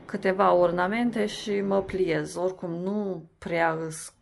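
A woman's voice: lowish voice, steady tone, creaky. A young woman chatting in a casual voice-over about cleaning and organizing her kitchen, rambling with fillers and restarts. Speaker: home vlogger.

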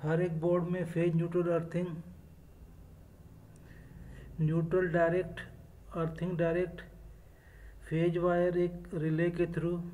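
A man giving a step-by-step technical explanation as an electronics instructor, speaking in short, measured phrases. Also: fairly high pitch, dark tone, slight background noise, low-quality recording.

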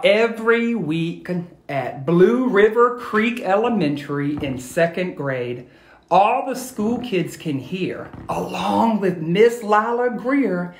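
A man's voice: sits high, animated, slow. A middle-aged man reading aloud expressively, as an educator addressing young listeners directly and encouragingly. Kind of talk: expressive children's read-aloud. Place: indoor room at home. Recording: echoey room, phone video.

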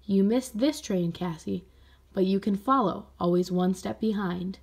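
A woman voicing a gentle, solemn aunt who guides a child in a storybook, soft and measured. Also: medium pitch, vocal fry, breathy edge.

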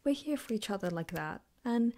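A woman playing a gentle, caring girlfriend in an ASMR roleplay, speaking softly and hesitantly, sometimes whispering, close to the mic. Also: medium pitch, lively intonation, brisk, close to an everyday voice. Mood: tender, reassuring. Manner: soft-spoken, hesitant, rambling. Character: gentle caring girlfriend, comforting her partner. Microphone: close binaural microphone.

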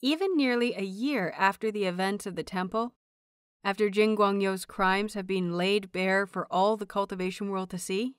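A woman reading a story aloud as an audiobook narrator, in expressive scripted narration. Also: medium pitch, clean tone, no room sound, clean studio sound. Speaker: audiobook narrator of fan fiction.

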